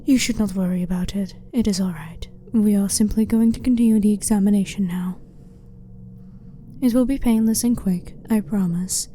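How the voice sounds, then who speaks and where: Female alien scientist acted by a woman, blunt and clinical yet harmless, very soft dark tone, ASMR sci-fi roleplay over noticeable background ambience. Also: mid-range voice, lightly acted. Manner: blunt, formal, matter-of-fact, measured. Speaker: ASMR voice performer. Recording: clearly noisy ambient background.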